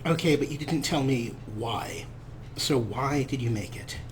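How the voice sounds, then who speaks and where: A man's voice: medium pitch, lively intonation, measured pace, slight background noise. A man hosting a let's play with wry, amused, casual commentary.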